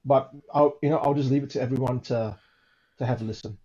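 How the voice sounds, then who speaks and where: A man with a medium-pitched voice tells a personal account in a casual, conversational way. He often doubles back on words and checks in with short tag questions as he explains. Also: steady tone, fast, mellow, muffled recording. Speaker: guest recounting his own recording.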